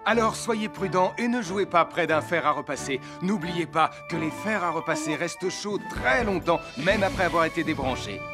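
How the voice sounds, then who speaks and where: A man performs a friendly cartoon firefighter hero with a high, animated male voice. He is upbeat and instructive, and music runs behind him. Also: normal speed, few pauses, natural voice. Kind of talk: French cartoon dub.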